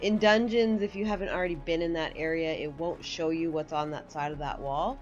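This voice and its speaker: A woman's voice: low voice, steady tone, brisk, dull audio. A woman giving a casual, conversational walkthrough of a video game as its host, explaining things as she goes. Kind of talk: casual game tutorial commentary. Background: game music.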